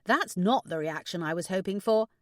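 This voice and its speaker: A woman's voice: fairly low pitch, animated, normal speed, no echo, studio quality, lightly acted. Lady doing bossy upbeat best friend, audiobook, lots of up and down in the voice. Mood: caring. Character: brisk, bossy, caring female best friend. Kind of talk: audiobook character dialogue.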